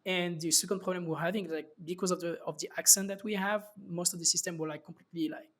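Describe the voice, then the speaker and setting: A man with a French accent and a slightly raised pitch speaks as a podcast guest. He talks loosely, full of fillers and restarts as he explains his thinking. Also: steady tone, fast, fairly bright tone. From France. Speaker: startup founder guest. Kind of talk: casual interview talk.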